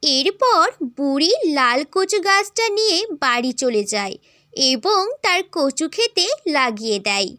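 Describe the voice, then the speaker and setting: Woman narrating a kids folk tale, scripted, really bright and animated voice. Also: high voice, measured pace, dry recording, clean studio sound.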